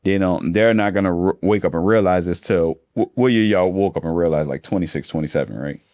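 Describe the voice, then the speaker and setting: Deep-voiced laid-back man in his thirties. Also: brisk, a little mellow, no room sound, studio quality. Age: 30s. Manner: laid-back slangy talk, calls listeners bro. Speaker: funding expert guest. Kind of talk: casual podcast conversation.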